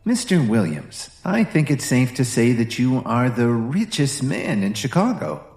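Man doing a soft-spoken bank agent. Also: medium pitch, big pitch swings, unhurried, echoey room, faint music behind, pitched above the performer's own voice. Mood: polite. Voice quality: soft, formal.

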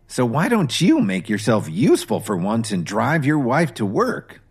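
A man's voice: medium pitch, huge pitch swings, moderate pace, breathy edge, heavily acted. A man voicing a scornful older mother-in-law who scoffs and orders people around. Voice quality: scoffing, bossy.

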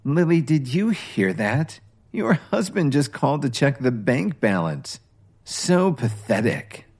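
A man voicing a spiteful young woman who mocks and taunts in slow, sneering tones. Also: medium pitch, very animated, darkish tone, husky, voice pushed up, full character voice. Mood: mocking. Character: mocking, cackling young woman, a spiteful rival.

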